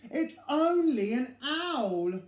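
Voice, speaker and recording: Big male mouse, acted by a man, blunt and tired of being bothered, storybook style, slow and emphatic with lively pitch. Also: exaggerated voice. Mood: weary. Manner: firm, blunt, exasperated. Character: bigger, older male mouse, weary and firmly reassuring. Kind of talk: children's storybook character voice. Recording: echoey room.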